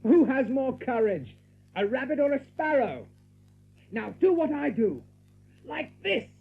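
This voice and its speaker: Earnest rabbit leader, lively pitch. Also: male voice, measured pace, gravelly, breathy edge, heavily acted. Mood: commanding. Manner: earnest, commanding. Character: young male rabbit leader, earnest, brave and decisive. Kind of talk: audiobook drama acting. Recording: thin narrow-band recording with faint noise.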